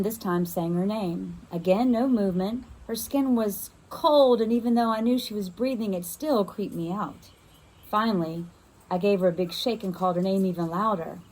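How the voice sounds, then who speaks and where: A woman reads a children's first-person novel aloud in an expressive storytelling style, as a teacher would. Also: mid-range voice, poor audio.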